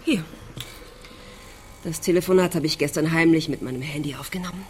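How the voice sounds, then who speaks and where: An actress playing a cool, suspicious betrayed wife in a radio drama, pointed and sceptical in a naturalistic style. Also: female voice, low voice, a little fast, slight background noise, lightly acted. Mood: guarded.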